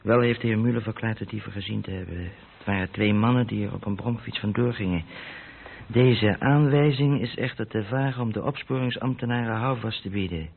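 A man's voice: lowish voice, gravelly, husky. An actor with a Netherlands Dutch accent playing a haulage company boss who thinks aloud alone in his office, in a radio play. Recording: muffled, noisy old radio play recording.

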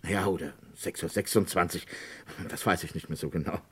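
Low-voiced, precise village notary. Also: male voice, fairly even intonation, fairly quick, breathy edge. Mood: precise, recollecting. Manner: formal, careful, self-assured, hesitating for effect. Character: precise, discreetly insinuating male French village notary and lawyer. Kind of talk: German radio crime drama acting.

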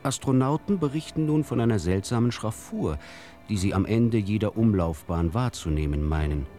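An actor playing a wry storyteller in a musical radio play, reciting deliberately and theatrically with pointed pauses. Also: male voice, fairly low pitch, expressive, mellow, soft background music, lightly acted. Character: wry male storyteller of absurd fairy tales. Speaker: narrator.

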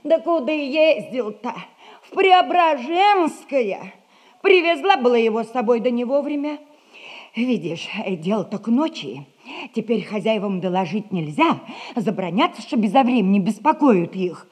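An actress playing a chatty, fussy old servant woman with a husky voice, sighing folksily in a theatrical audio comedy. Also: a little high, animated, unhurried, darkish tone. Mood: flustered, chatty. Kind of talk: classic Russian stage comedy acting, audio play.

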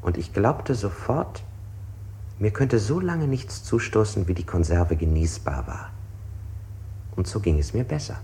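An actor playing an anxious interrogated man in a 1960s radio play, recounting precisely and deliberately. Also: fairly low pitch, animated, mellow, slight background noise. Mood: uneasy, brooding. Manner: deliberate, self-correcting, precise.

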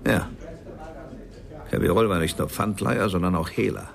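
An actor playing a gruff, discreet police inspector in a crime radio play, terse and matter-of-fact. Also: male voice, sits low, moderate pace, crowd noise. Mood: terse, confidential.

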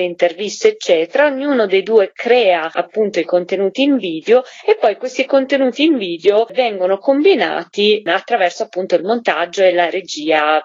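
A woman's voice: mid-range voice, no room sound, muffled recording. A woman, a theatre director, methodically explaining her work as a guest in a radio interview.

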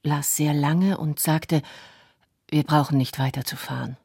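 A woman's voice: sits low, steady tone, unhurried, gravelly, a little mellow, husky, dry recording, clean studio sound, full character voice. An actress playing a writer's wife, reading her memoir in a sombre, restrained way for a radio drama. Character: writer's wife recalling bitter memories, sombre.

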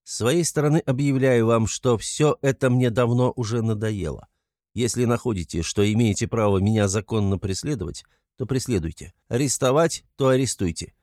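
A man narrator acts out an overwrought young male suspect from a classic audiobook. He is proud and defiant, with clipped, emphatic phrasing. His pitch sits in the middle, in a dry recording. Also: moderate pace, no room sound, lightly acted. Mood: cold defiance. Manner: loud, emphatic, clipped declarations.

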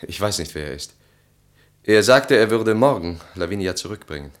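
An actor in a German radio drama playing a harried, evasive husband, hesitant and flustered. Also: male voice, low voice, animated, normal speed. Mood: troubled, earnest. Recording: roomy studio radio play sound.

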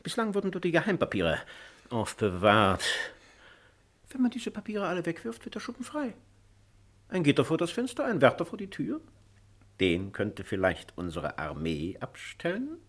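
An actor playing an old justice minister at a royal court in a radio drama, formal, stiff and theatrical. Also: male voice, on the high side, huge pitch swings, normal speed, a bit bright, exaggerated voice. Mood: scheming, officious. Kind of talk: German radio play acting.

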